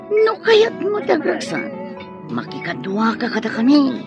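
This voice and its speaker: A man playing a teasing, slow-talking bad influence. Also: very high-pitched, wildly swooping pitch, heavily acted. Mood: persuasive. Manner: teasing, laughing. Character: carefree young man tempting friend to idle life. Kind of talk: radio drama acting. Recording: dull audio with loud background music.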